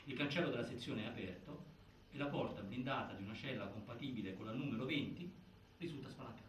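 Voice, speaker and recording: A man performing as a solemn theatre narrator, reciting a case file in formal, deliberate, declamatory phrases. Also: medium pitch, lightly acted. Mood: grave, insistent. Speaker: narrator in a stage inquiry play. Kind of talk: scripted theatrical documentary narration. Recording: echoey, distant, faint noise.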